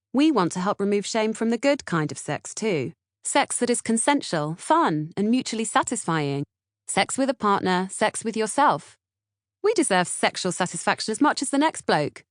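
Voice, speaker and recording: Woman, British accent, scripted audiobook narration, medium pitch, dry sound. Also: dry recording. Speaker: author-narrator reading audiobook, podcast co-host.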